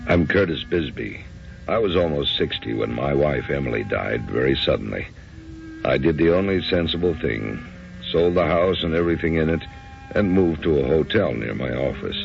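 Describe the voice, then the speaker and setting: An actor in a radio drama playing a staid, matter-of-fact widowed businessman around sixty, brisk and slightly awkward. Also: very deep voice, gravelly, exaggerated voice. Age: 60s. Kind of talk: old-time radio drama acting. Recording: muffled old radio broadcast recording.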